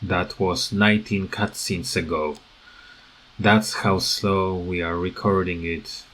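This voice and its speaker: A man giving unscripted, rambling commentary as a gaming video creator, halting often as he searches for words. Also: low-pitched, steady tone, fairly bright tone.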